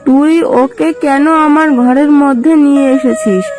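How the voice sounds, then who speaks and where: A woman's voice: high-pitched, fairly even intonation, unhurried. A woman voicing a haughty mother jackal in a cartoon, scolding sharply and scornfully over music. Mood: indignant. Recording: loud music behind the voice.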